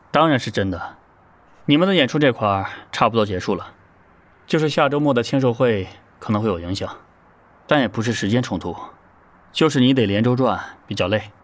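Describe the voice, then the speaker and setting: A man's voice: mid-range voice, lightly acted. A man playing a matter-of-fact, kindly idol group manager in a Chinese audio drama, businesslike and easygoing.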